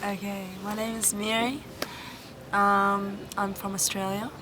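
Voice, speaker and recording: A young woman from New Zealand, a volunteer answering interview questions in an earnest, halting way with many pauses and restarts. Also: mid-range voice, flat delivery. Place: Egyptian village, indoors or outdoors. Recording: noisy background.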